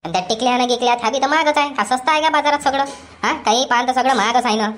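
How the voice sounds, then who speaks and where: Woman playing a whiny cartoon daughter-in-law, talks back quick and high. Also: a bit bright. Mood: arguing. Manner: whiny argumentative back-talk. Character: sulky bickering young daughter-in-law. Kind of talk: cartoon comedy voice acting.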